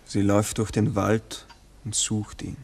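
An actor playing a sober, matter-of-fact witness reporting in a 1960s radio play. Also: male voice, fairly low pitch, a little slow, gravelly, slightly rough, full character voice. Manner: flat, factual reporting. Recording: 1960s mono radio recording.

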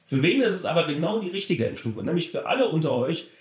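A man's voice: on the high side, very animated, quick pace. Enthusiastic man, echoey room. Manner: enthusiastic, emphatic, repeats words for stress. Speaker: car audio shop presenter. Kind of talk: casual product review.

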